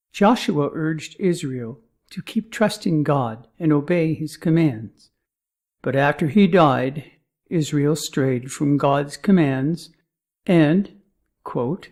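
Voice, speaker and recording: A man narrating a scripted Bible lesson as author's notes, reading names and quotations with deliberate emphasis. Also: a little high, a little mellow.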